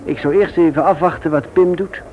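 An actor playing a mild, evasive man in a Dutch radio play, hesitant and measured in his speech. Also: fairly high pitch, steady tone, lo-fi sound, close to an everyday voice. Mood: concerned. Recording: noisy old radio play recording.

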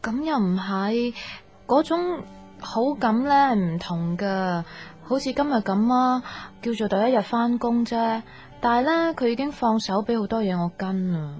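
A woman's voice: a little high, expressive, a little slow, darkish tone, faint music behind. An actress playing a sweet, earnest young woman confiding about romance in a radio drama, girlish, with a Hong Kong Cantonese accent. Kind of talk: naturalistic radio drama acting.

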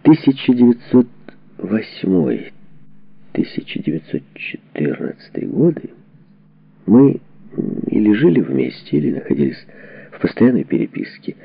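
Husky, low-voiced male audiobook narrator. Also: very animated, unhurried, pauses often, dry recording. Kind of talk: scripted memoir narration, expressive reading. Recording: dull, muffled lo-fi audio.

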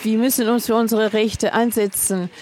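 A woman speaks to a panel audience in a defiant tone that stays plain and direct. Her pitch hardly moves, which gives her words a level, even delivery. She pauses between short phrases. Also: slight background noise. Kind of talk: public panel statement.

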